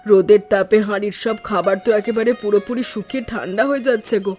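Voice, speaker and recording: Man doing worried caring village wife, plaintive and high, cartoon story with music behind. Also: steady tone, quick pace, darkish tone, lightly acted. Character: anxious, caring village wife named Sarojini. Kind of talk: animated moral story dubbing.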